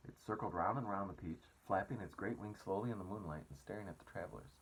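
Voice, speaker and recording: Man, low-pitched, husky, expressive storybook narrator, read-aloud delivery. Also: quick pace, poor audio. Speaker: storyteller reading a children's book aloud.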